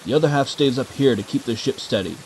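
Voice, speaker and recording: A man's voice: mid-range voice, lively intonation, quick pace, slight background noise, pitched above the performer's own voice, lightly acted, rough recording. A man voicing a commanding, possessive ship's captain in an audio drama, speaking with authority. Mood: stern, forceful. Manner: authoritative, barking orders.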